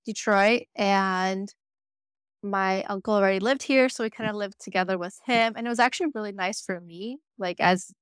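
A woman, telling her story in a loose, chatty way. Her voice is smooth and resonant, recorded dry and close to the mic. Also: medium pitch, normal speed, no echo. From born in Croatia, raised in the United States. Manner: chatty, frequent "like" fillers and laughs. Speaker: podcast guest. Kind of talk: casual conversational storytelling.

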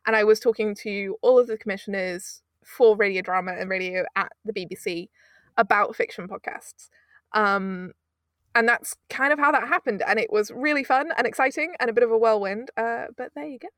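Young woman, from Britain, audio producer, animated, laughs often, dry recording. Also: medium pitch, a little fast, no room sound. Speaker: audio drama producer, guest. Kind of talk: casual interview storytelling.

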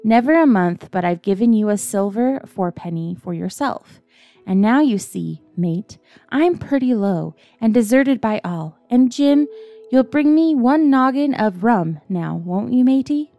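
A woman reading aloud lends her voice to a weak but blustering old sea captain in a bedtime storybook. He pleads for rum and curses doctors in rough sailor's speech, his voice a little higher and louder than the narration. The tone stays mellow, with faint music behind. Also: animated, measured pace. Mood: pleading.

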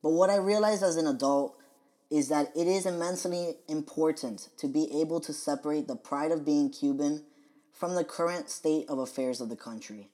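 A young adult man from the United States hosting a podcast, reading his scripted personal monologue in a measured, earnest way. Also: fairly high pitch, darkish tone. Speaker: podcast host.

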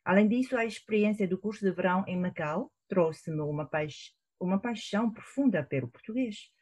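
A woman's voice: mid-range voice, normal speed, darkish tone. A middle-aged woman from China, a professor, speaking Portuguese with a Chinese accent. Her first language is Chinese, and she answers thoughtfully, with frequent hesitations. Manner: thoughtful, hesitant, with many fillers. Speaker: professor, guest. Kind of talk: interview answers. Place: at home in Shanghai, remote call.

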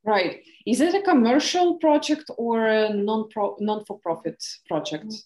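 A woman's voice: on the high side, measured pace, darkish tone, echoey room. A woman host with a Ukrainian accent, a native Ukrainian speaker, asking interview questions and searching for words as she clarifies. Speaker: podcast host.

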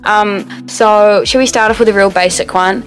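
A woman's voice: mid-range voice, fairly even intonation, normal speed, faint music behind, rough recording. A twenty-year-old woman with a New Zealand accent co-hosting a podcast, chatting casually with lots of fillers and swearing. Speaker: podcast co-host. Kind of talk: casual chat. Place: radio studio.

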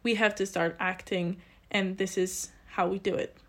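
A woman's voice: mid-range voice, little pitch movement, normal speed, fairly bright tone. A woman of about twenty with a Polish accent, answering interview questions in an earnest, explanatory, conversational way. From Poland. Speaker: interview guest, student committee chair.

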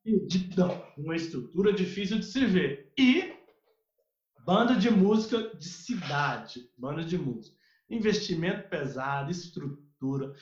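A Brazilian man with a slightly high voice talks as a podcast guest, telling animated stories full of restarts. The sound is roomy and a bit dull, like lo-fi audio. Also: unhurried. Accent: Brazilian Portuguese. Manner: animated storyteller, many restarts, mimics others. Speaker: guest, music researcher. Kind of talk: casual podcast interview.

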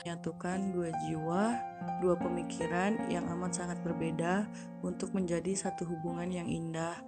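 Even-toned young woman over loud guitar. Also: fairly low pitch, normal speed. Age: 20s. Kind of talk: prepared personal testimony. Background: loud guitar music.

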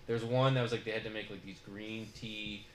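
A man's voice: fairly low pitch, steady tone, brisk, roomy sound, slight background noise. A man hosting a podcast, talking through a show review in a casual, rambling way full of fillers. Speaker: podcast host.

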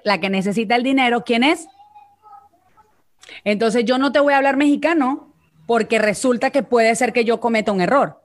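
Venezuelan woman, vocal coach, bright conversational teacher, higher voice. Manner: explanatory, animated, checks in with "¿ok?". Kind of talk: casual teaching chat on a live stream.

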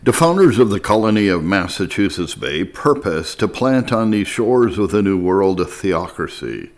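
Guy with a lowish voice reading a history book out loud, measured and formal. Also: big pitch swings. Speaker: narrator reading history aloud. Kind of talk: scripted audiobook-style narration.

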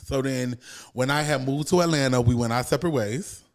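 A man's voice: medium pitch, a little fast. An American man chatting as a podcast guest, telling stories casually and emphatically, repeating phrases for stress and swearing freely. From United States, born in New York, raised in Savannah, Georgia. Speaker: podcast guest, comedian and motivational speaker. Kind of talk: casual interview storytelling.

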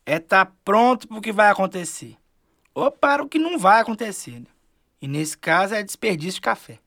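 A man's voice: high-pitched, animated, normal speed, creaky, pushed and bright, dry recording, studio quality, full character voice. A man acting in a Brazilian radio drama as a wistful, folksy middle-aged man lost to the river, with a Brazilian accent. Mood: folksy, wry.

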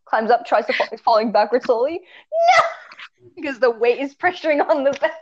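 A teenage girl chatting casually with a friend, giggly and animated as she tells stories. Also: fairly high pitch, brisk. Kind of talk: casual chat between friends. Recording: dull lo-fi recording.

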